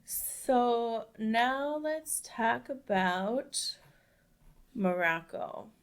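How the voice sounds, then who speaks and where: A woman's voice: on the high side, lively intonation, very slow, clear, resonant tone. A young adult woman co-hosting a casual podcast discussion, talking emphatically and dropping "like" in very often. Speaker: podcast co-host.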